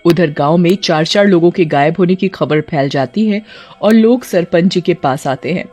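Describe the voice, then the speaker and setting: A woman's voice: lowish voice, normal speed, darkish tone, faint music behind. Woman narrating a cartoon story.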